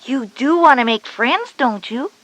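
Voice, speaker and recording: A woman's voice: high-pitched, expressive, measured pace, fairly bright tone, full character voice. A woman voicing a confident schoolgirl big sister, instructive and encouraging, in a children's educational audio drama. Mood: gently probing.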